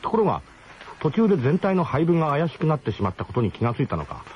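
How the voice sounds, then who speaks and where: A man's voice: a little high, very animated, fast talker. A man narrating a comic radio drama as scripted storytelling, with a mock-solemn, literary delivery. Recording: muffled 1980s radio broadcast, faint noise.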